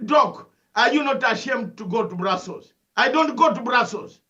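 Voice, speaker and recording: An older Ugandan-accented man with a very high, bright, forceful voice, lecturing with fervour and piling up rhetorical questions. He comes through a dull, lo-fi remote link. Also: lively intonation, normal speed. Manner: emphatic, preachy, full of rhetorical questions and repetition. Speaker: analyst guest. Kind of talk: impassioned interview commentary.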